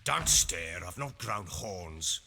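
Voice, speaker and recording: Game warlord: middle-aged, Northern English, quick-tempered, sharp-edged, wildly swinging delivery in a reverberant hall. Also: male voice, deep voice, expressive, slight background noise, exaggerated voice. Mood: blustering. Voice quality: gruff, husky. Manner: blustering, emphatic. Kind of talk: video game character acting. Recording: hall reverb, music underneath.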